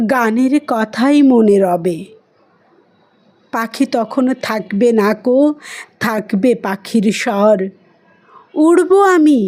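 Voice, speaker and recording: Woman doing a poetry recitation, kinda high voice, really slow and emotive. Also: darkish tone.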